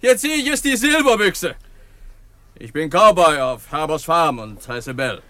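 An actor playing a chatty, folksy cowboy in a western radio drama, wary but friendly, with a brisk manner. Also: male voice, on the high side, lively intonation, a bit bright. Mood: friendly, impressed.

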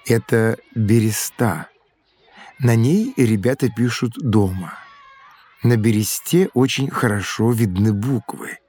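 An actor playing a folksy schoolmaster of old Rus in an educational audio drama, explaining things expressively. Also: male voice, mid-range voice, a little mellow. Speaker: teacher.

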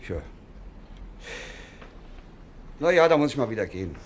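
An actor playing a chatty, hesitant customs officer in a radio drama, outwardly friendly and casually inquisitive. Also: male voice, mid-range voice, very animated, rapid-fire. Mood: casually prying.

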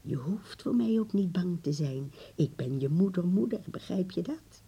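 Radio drama: frail, wise grandmother, very breathy and mellow, unhurried, tenderly firm. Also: on the low side, animated, dull audio, exaggerated voice. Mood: tender, confiding. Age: elderly. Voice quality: husky, breathy, creaky old voice. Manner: slow, deliberate, with repeated words.

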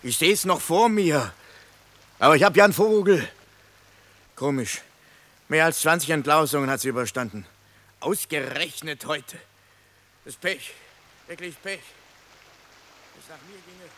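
Stubborn, grumbling fugitive, bright-voiced. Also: male voice, a little high, animated, a little slow, pauses often. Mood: sulky, wistful. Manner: grumbling, sceptical, sarcastic. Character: stubborn, sulking fugitive man mourning his lost knife. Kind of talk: German radio play acting.

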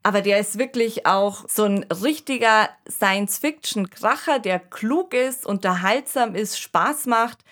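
A woman's voice: mid-range voice, a little slow, hardly any pauses, fairly bright tone. A woman co-hosting a podcast, talking enthusiastically and colloquially in a conversational discussion. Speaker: podcast co-host. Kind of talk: conversational discussion of science fiction.

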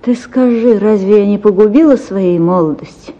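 A woman playing an anguished, repressed young gentlewoman in a theatrical radio drama, pleading tremulously. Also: medium pitch, moderate pace, muffled recording, natural voice. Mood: despairing.

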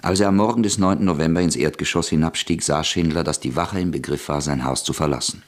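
A man narrating a radio drama in a measured, even way. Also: extremely low pitch. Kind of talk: scripted narration.

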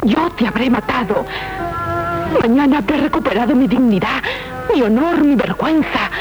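A woman's voice: high voice, lively intonation, normal speed. An actress playing an anguished young noblewoman torn between love and vengeance in a 1970s radio play, with a Castilian accent and an impassioned, solemn delivery. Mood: fateful, brooding. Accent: Castilian Spanish. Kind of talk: 1970s Spanish radio theatre acting. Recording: dull 1970s radio broadcast recording. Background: prominent music.

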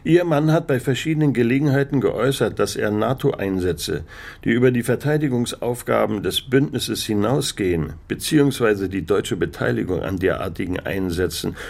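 An actor playing a cool, probing male government investigator in a radio drama, speaking in a measured, formal, quietly pressing way. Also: fairly low pitch, animated, gravelly.

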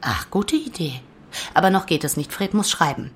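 A woman's voice: lowish voice, lively intonation, normal speed, slightly rough. A woman playing a worldly, wry Berlin publisher in a German radio play, speaking in an arch, playful way. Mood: wry, breezy.

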